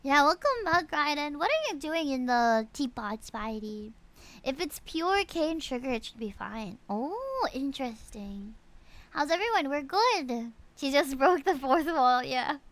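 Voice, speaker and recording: A woman's voice: high voice, sing-song, a little slow, fairly bright tone, no echo. A woman livestreaming a video game, chatting casually with viewers in an exclamatory, chatty way. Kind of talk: casual chat with viewers, reading game dialogue aloud. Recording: music behind the voice.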